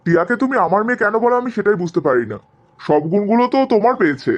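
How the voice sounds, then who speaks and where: A man's voice: high voice, sing-song, rapid-fire. A man playing a sarcastic, exasperated middle-aged husband and father in a cartoon drama, grumbling and arguing. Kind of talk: Bengali cartoon family drama acting.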